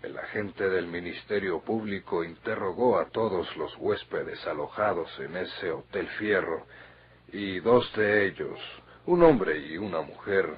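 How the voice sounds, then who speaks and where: A grave, steady Mexican confidant in a vintage radio serial. The voice is deep and very husky, with dulled old-recording sound. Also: male voice, unhurried, dull audio, heavily acted. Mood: urgent, calming. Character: grave, steady older male confidant bringing bad news.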